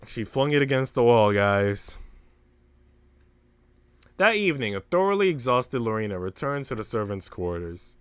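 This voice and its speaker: A man's voice: medium pitch, big pitch swings, normal speed, long pauses, fairly bright tone, smooth, dry recording. A man livestreaming a story game, switching between reading narration aloud and slangy, sweary casual chat. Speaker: streamer reading a visual novel aloud. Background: music.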